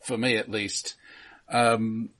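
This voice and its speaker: A man's voice: lowish voice, level tone, slow, a bit bright. A man co-hosting a film podcast, chatting casually with dry, wry humor. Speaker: podcast co-host.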